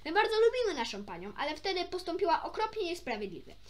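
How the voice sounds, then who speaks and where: Woman, high voice, very varied intonation, expressive children's storybook narration, occasional rereading. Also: quick pace. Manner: animated, stumbles and rereads phrases. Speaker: amateur storyteller reading a children's book.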